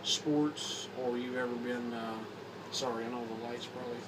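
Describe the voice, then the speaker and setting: Hoarse Kentucky man rambling, flat voice. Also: mid-range voice. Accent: Kentucky Southern. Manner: rambling, halting with repeats and fillers. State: slightly hoarse from a cold. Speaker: vlogger. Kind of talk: casual talk to camera. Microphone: phone or camera mic. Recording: distant camera mic with background noise.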